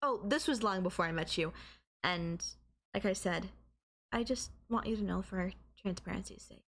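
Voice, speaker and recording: Casting: woman as young student girlfriend, mid-pitched, coy, teasing and affectionate, for intimate anime-style audio roleplay. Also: normal speed, a bit bright. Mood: coy confiding.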